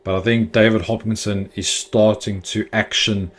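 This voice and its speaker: Low-voiced man hosting football fan chat. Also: level tone, normal speed. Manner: chatty, opinionated, occasional fillers. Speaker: football fan channel host. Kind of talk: casual fan news commentary.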